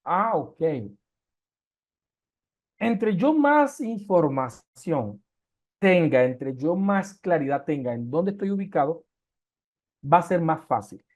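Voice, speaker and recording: High-voiced man lecturing online. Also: sing-song, slow pace, pauses often, darkish tone. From Colombia. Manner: explains with everyday comparisons and rhetorical questions. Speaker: research methods instructor.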